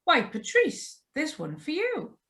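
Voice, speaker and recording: Swooping, high older lady played by an actress. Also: wildly swooping pitch, takes it slowly, a bit bright, heavily acted. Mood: pleasant, curious. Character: chatty, doting older well-to-do mother-in-law. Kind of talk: 1940s-style radio drama acting. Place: remote live reading over video call.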